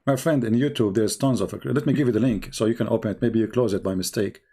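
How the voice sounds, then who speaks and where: Gravelly man with an Arabic accent. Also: medium pitch, dark tone. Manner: insistent, repeats questions, halting broken English. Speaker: host of a live-stream debate. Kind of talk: heated call-in debate.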